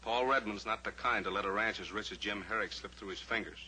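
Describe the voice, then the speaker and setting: A calm, steady rancher-pilot hero. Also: male voice, fairly low pitch, quick pace, slight background noise, low-quality recording. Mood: firm. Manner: calm, reassuring and firm. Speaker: lead character. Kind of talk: 1950s radio drama acting.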